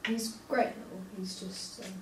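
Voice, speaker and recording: A woman's voice: mid-range voice, fairly even intonation, normal speed, lightly acted. A woman reading the part of an upset, wounded young woman at a drama table read, recorded at a distance in a noisy, echoey room. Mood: hesitant, anxious.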